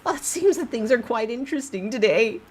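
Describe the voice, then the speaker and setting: A woman's voice: on the high side, animated, a little fast, a little mellow, slightly rough. A woman voicing a mocking, showy little goblin-like spirit in a dramatic storytime reading, teasing and exclaiming. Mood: smug delight.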